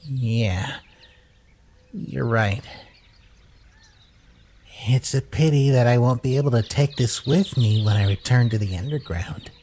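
An actor voicing a small, brooding talking flower in a comic dub, weary, somber and halting. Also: medium pitch, mellow, a little hiss or hum, close to an everyday voice. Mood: resigned. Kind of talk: scripted comic dub acting.

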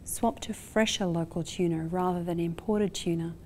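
A woman in her forties with an Australian accent, presenting a scripted science lesson to camera in a measured, explanatory way. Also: lowish voice, steady tone, darkish tone, slight background noise. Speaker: marine biology professor presenting. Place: indoor lab studio with aquarium.

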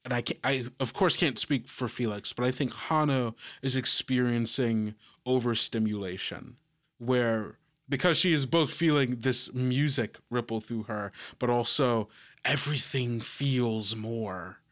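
A man describing scenes in a tabletop role-playing game in a measured, improvised way. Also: medium pitch, fairly bright tone.

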